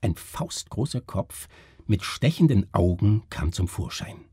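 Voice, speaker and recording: A man's voice: lowish voice, very animated, a little slow, dark tone, husky, dry recording, clean studio sound. A man giving a dramatic literary narration for radio.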